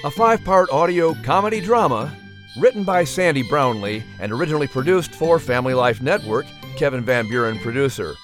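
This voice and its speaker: A man's voice: medium pitch, fairly quick, over music. A man co-hosting a podcast in a playful, bantering way. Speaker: podcast co-host. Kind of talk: scripted introduction and casual joking chat.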